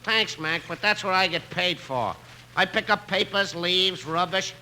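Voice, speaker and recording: A man's voice: high voice, unhurried, pushed and bright, slight background noise, exaggerated voice. An actor playing a matter-of-fact working man, a street cleaner, in 1950s radio comedy style. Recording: old 1950s radio broadcast.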